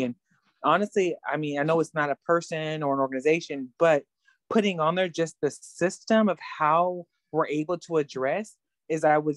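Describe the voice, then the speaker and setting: A woman's voice: low-pitched, no room sound. Earnest woman rambling on a video call. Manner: earnest, rambling, many restarts and fillers. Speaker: workshop participant. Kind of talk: casual discussion in a video call.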